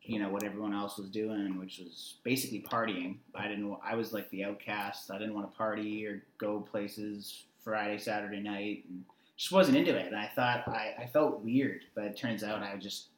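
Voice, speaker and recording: A man in his twenties telling stories casually as a guest, animated and repeating himself for emphasis. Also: fairly low pitch, normal speed. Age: 20s. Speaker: interview guest. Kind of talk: casual conversational storytelling. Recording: echoey room sound.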